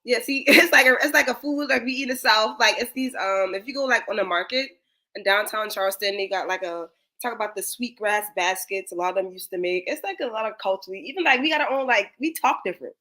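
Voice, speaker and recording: Young woman guest, South Carolina Geechee accent, quick and bright, slangy and animated. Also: mid-range voice. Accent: Gullah Geechee, South Carolina. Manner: animated, slangy, many "like" fillers. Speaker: guest commentator. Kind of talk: casual chat.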